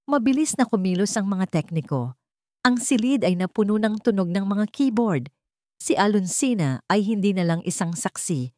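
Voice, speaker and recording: A woman's voice: medium pitch, expressive, moderate pace, a little mellow, dry recording, clean studio sound. A woman narrating a scripted dramatic story.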